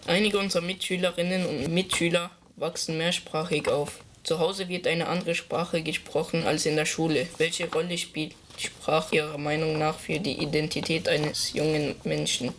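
A man's voice: a little high, little pitch movement, moderate pace, hardly any pauses, a bit bright, a little hiss or hum. A teenage boy, a student interviewing a teacher with polite, formal, prepared questions. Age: teens.

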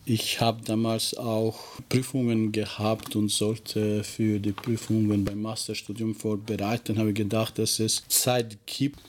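Man, native Albanian speaker, teacher in an interview; low, even voice, unhurried and halting. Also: a little hiss or hum. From former Yugoslavia. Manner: halting, searches for words and restarts sentences. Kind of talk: interview answers.

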